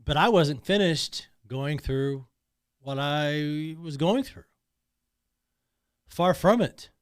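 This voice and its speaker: A man's voice: on the high side, takes it slowly, clean tone, dry recording. A man talking alone in a podcast studio, emotional and emphatic, often repeating himself. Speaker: podcast host. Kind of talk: personal monologue.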